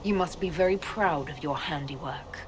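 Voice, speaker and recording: A woman's voice: deep voice, moderate pace, a little hiss or hum, close to an everyday voice. An actress playing a composed woman leader in a fantasy video game, direct and questioning. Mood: accusing. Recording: music behind the voice.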